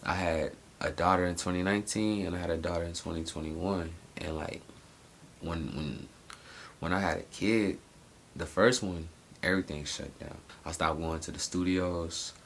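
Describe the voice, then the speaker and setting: Late-20s guy vlogging, super low gravelly voice, rambling slangy talk w/ lots of restarts, faint hiss behind. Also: fairly even intonation, moderate pace. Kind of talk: casual personal monologue.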